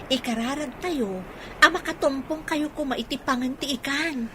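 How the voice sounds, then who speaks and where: A woman's voice: sits high, normal speed, noisy background, close to an everyday voice. A woman playing an earnest, respectful young daughter with big dreams in a radio drama. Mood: earnest, dutiful. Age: young adult.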